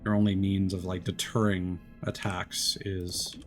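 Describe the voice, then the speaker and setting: Male streamer, low-ish voice, rambling casual game commentary, music bed underneath. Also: flat delivery, measured pace. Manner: rambling, self-interrupting, mild swearing.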